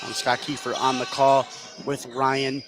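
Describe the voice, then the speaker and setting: An American man giving live high school basketball play-by-play in an energetic, clipped running style. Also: unhurried, music in the background. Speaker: play-by-play commentator. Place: indoor basketball gym, courtside broadcast. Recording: clear courtside gym noise.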